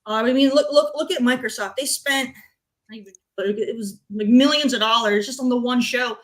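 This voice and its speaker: A woman's voice: on the high side, normal speed. A woman speaking informally as a panelist on a gaming talk show, giving opinions in a blunt, emphatic way.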